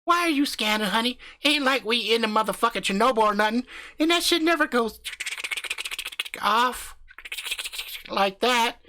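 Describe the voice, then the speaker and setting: A man voicing a loud, crude, profane husband who hunts ghosts with his wife, swaggering and brash. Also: very high voice, animated, moderate pace, pauses often, a little hiss or hum, voice pushed up, full character voice. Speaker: comedic character.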